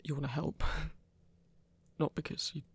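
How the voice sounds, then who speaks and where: A woman playing an awkward, self-conscious werewolf hunter in an ASMR romance, stammering in a husky, breathy voice. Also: sits low, little pitch movement, unhurried, exaggerated voice. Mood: flustered, touched. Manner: flustered, stammering, self-deprecating. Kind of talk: intimate ASMR romance roleplay.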